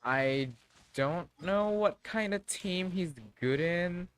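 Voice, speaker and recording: A man's voice: high voice, slow pace, a bit bright, clear, resonant tone. A man livestreaming a game with casual, slangy, offhand commentary.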